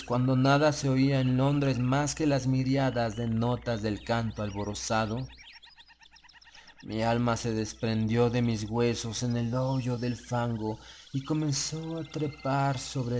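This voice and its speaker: A man narrating a gothic tale as an audiobook, in a solemn, measured, literary delivery with phrases that fall away at the end. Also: mid-range voice, fairly even intonation, darkish tone, a little hiss or hum. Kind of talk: gothic horror story narration in Spanish, first person. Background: background music.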